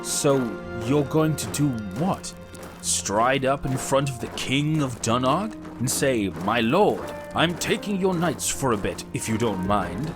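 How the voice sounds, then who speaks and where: Sardonic fantasy sidekick acted by a male narrator, pitched up, teasing, music underneath. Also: lively intonation, unhurried, lightly acted. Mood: teasing, mocking. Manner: mock-polite, sardonic. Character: wry, teasing companion in a fantasy tale. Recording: music behind the voice.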